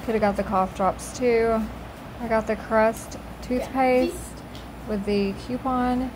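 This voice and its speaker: Slow-talking woman, home voice-over. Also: fairly high pitch, steady tone, noisy background. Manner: chatty, slow, bargain-focused, says y'all. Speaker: frugal living vlogger. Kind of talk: casual voice-over walkthrough.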